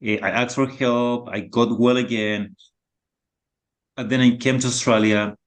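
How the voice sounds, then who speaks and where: A man in his thirties from Chile with a Chilean Spanish accent, speaking as a podcast guest in a halting, soft-spoken way with many fillers. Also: mid-range voice, fairly even intonation. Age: 30s. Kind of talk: casual reflective conversation.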